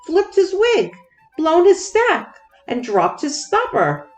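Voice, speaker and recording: A woman's voice: very high voice, wildly swooping pitch, slow pace, faint music behind, voice pushed up, exaggerated voice. A woman voicing indignant, disapproving neighbors in a storybook, exclaiming in scandalized tones. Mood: scandalized, mocking.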